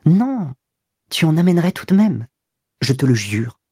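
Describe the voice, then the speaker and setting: Man putting on a breathless young mistress, lifted pitch, husky dark tone, dry close audiobook sound. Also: big pitch swings, unhurried, no echo, heavily acted. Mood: insistent. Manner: breathless, pleading, raised voice. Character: young woman, passionate and possessive lover.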